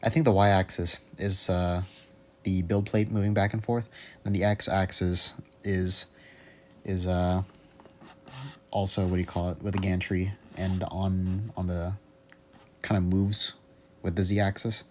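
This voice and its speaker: A young man giving a casual, unscripted audio log, rambling haltingly as he searches for words. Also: deep voice, little pitch movement. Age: young adult. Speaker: hobbyist vlogger.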